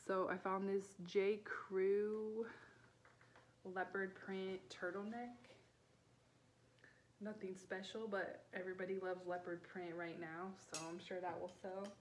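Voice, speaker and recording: Low-key woman doing a casual haul chat, takes her time, noticeable room noise behind her. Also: level tone, slow. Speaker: vlogger and online vintage reseller. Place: small living room at home, indoors. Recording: clear background room noise.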